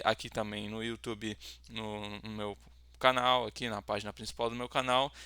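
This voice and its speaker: Young brazilian guy, tutor vibe, brazilian accent, smooth clear voice, rambly with lots of uh's. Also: on the low side, sharp tone, no echo. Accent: Brazilian Portuguese. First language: Portuguese. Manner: rambling, many fillers and restarts. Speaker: language tutor. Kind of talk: casual self-introduction to camera.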